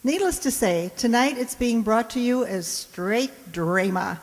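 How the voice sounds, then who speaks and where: A woman's voice: fairly high pitch, animated, a little slow. A woman host giving a prepared introduction to a live audience in a playful, wry, chatty way. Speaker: host introducing a radio players' show. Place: indoor hall in front of an audience. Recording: echoey hall, live stage.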